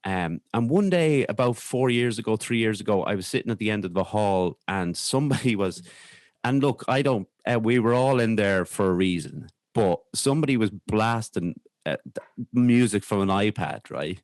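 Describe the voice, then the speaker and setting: An Irish man speaking candidly as a podcast guest, in a hesitant, halting way with repeated words. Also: fairly low pitch, lively intonation, a little mellow, dry recording. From Ireland. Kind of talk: candid conversational interview.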